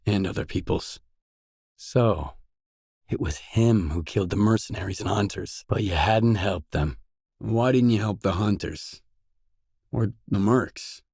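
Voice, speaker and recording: A man's voice: fairly low pitch, a little slow, creaky, darkish tone, husky, no room sound, studio quality, heavily acted. A man playing a guarded, determined post-apocalyptic stalker in a video game, speaking in a terse, probing, matter-of-fact way. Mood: guarded, questioning. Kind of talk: isolated video game dialogue lines.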